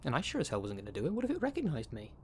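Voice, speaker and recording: A man's voice: on the high side, huge pitch swings, rapid-fire, pitched above the performer's own voice. Brief: male actor as nervy criminal driver, grumbling and defensive, audio drama, faint noise behind. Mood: petulant grumbling. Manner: complaining, petulant. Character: whiny, defensive, rattled male truck driver, small-time crook.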